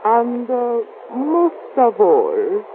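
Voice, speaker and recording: A woman's voice: on the high side, huge pitch swings, full character voice. An actress playing a haughty, alluring, wealthy foreign lady in an old-time radio drama, speaking accented English in an imperious, coy way. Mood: imperious, enticing. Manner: imperious, coy, deliberate phrasing. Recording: tinny, hissy old radio recording.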